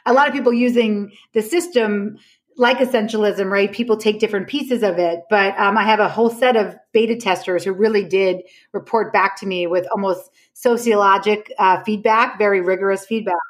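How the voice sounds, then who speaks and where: A woman's voice: medium pitch, fairly even intonation, brisk. A woman explaining her ideas conversationally as a podcast guest, telling anecdotes emphatically and acting out reported dialogue.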